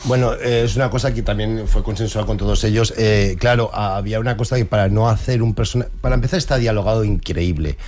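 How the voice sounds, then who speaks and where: Actor with a Madrid accent, lowish voice, hesitant and reflective in his answers. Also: fast talker, hardly any pauses, a little mellow. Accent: Madrid Spanish. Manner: reflective, hesitant, many "eh" fillers. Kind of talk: interview answers.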